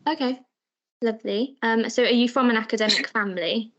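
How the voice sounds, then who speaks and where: Bright-voiced woman interviewer, lo-fi. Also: fairly high pitch, fairly quick. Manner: polite, brief affirmations. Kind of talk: interview questions. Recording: dull lo-fi audio.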